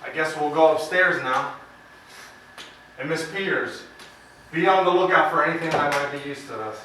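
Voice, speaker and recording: An actor playing a brisk, condescending county attorney in a stage drama, with a probing, pompous manner. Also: male voice, a little high, pushed and bright. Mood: probing, superior. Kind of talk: stage drama acting, questioning. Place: indoor theater stage, distant microphone. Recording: echoey stage from far off, faint noise.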